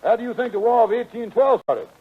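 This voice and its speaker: An actor playing a scheming, fast-talking conman in a 1940s radio comedy, with pompous, mangled big words in folksy dialect. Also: very high voice, lively intonation, slight background noise. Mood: pompous bluffing. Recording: tinny, band-limited 1940s radio broadcast.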